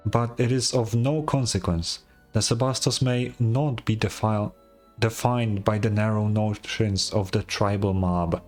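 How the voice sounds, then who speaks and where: A man's voice: on the low side, steady tone, measured pace, darkish tone, soft background music, close to an everyday voice. A man voicing a pompous, devout bell ringer from a fantasy game, preaching in grand archaic phrases. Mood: disdainful. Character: pompous zealous male bell ringer, dreamy cult devotee. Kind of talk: game dialogue read in character.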